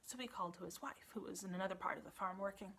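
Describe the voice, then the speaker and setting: A woman librarian telling a children's story in an animated style, building drama with emphasis and repetition. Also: medium pitch, racing, slightly rough.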